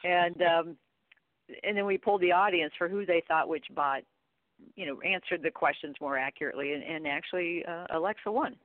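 A middle-aged woman giving conversational interview answers as a guest expert, telling things in an animated way with quoted dialogue. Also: lowish voice, fairly quick, no room sound. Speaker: guest expert, training company chief executive. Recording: narrow phone-like line.

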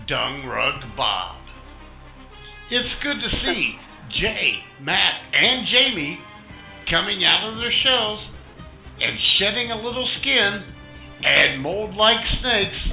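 A man voicing a bombastic sports director in a parody radio report, with pompous, theatrical, drawn-out delivery. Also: on the high side, phone-quality sound, heavily acted. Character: bombastic male sports director mangling words and names. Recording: roomy echo over music bed.

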